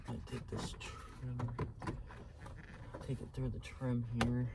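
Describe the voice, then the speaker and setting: A man's voice: mid-range voice, monotone, slow pace, vocal fry. A man giving a casual hands-on tutorial in an informal, unpolished way, thinking aloud as he works. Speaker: amateur video host. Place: in and around a pickup truck. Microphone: phone camera mic. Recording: noisy phone recording, vehicle noise, clicks.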